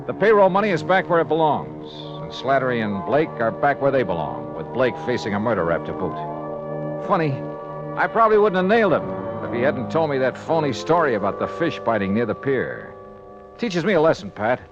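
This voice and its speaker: An actor playing a wry, tough insurance investigator in a 1950s radio drama, talking and narrating in a punchy hardboiled way. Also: male voice, medium pitch, sing-song, a little fast, natural voice. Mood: wry, clipped. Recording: muffled vintage radio recording with music.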